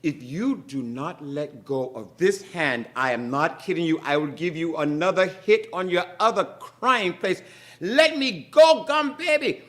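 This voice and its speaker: A prim, short-tempered woman with fussy manners, as a male storyteller puts her on. Her high, swooping voice turns from sugary politeness to exasperated scolding. She stretches her words out slowly. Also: big pitch swings, darkish tone. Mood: frantic.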